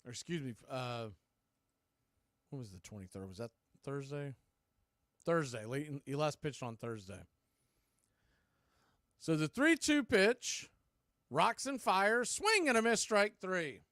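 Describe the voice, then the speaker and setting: Male play-by-play baseball announcer. Crisp, slightly high voice, very dry sound, clipped live calls. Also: very animated, unhurried, long pauses, a bit bright, no room sound. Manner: clipped phrases, calls rising on the action. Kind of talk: live play-by-play commentary on a stream.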